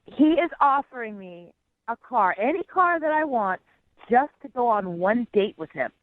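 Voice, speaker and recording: Higher-voiced woman on a dull call. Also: big pitch swings, moderate pace, no room sound. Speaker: phone-in guest on a dating segment. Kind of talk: casual chat. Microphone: telephone. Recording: dull, dry phone-call sound.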